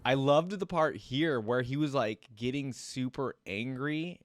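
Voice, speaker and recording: A man's voice: medium pitch, measured pace, slight background noise. A man giving casual, exclamatory live reaction commentary as the host of a reaction video. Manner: exclamatory, informal fan talk.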